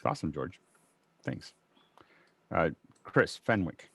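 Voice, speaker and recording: A man's voice: low-pitched, sing-song, takes it slowly, creaky, fairly bright tone, husky. A man in his fifties hosting a video call panel with short, casual handovers. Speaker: host, moderator.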